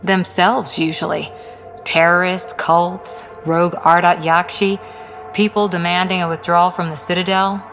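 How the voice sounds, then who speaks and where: A low-voiced, dry female soldier. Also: little pitch movement, unhurried, fairly bright tone, faint music behind. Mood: dry, composed. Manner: clipped, matter-of-fact, dry. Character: tough, disciplined female human soldier, dryly wry. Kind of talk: video game dialogue acting. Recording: long reverb.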